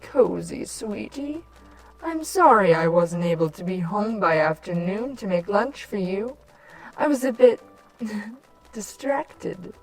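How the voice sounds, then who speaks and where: A woman voicing a changeling queen turned doting mother, with a cooing, theatrical, drawn-out delivery in a cartoon fan drama. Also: medium pitch, expressive, gravelly, crowd noise, low-quality recording. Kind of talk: fan fiction audio drama acting.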